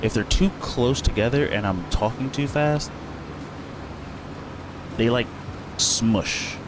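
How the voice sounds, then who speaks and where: A man's voice: mid-range voice, takes it slowly, background noise. A young man chatting casually while streaming a farming game, rambling with lots of fillers and self-corrections. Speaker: gaming streamer.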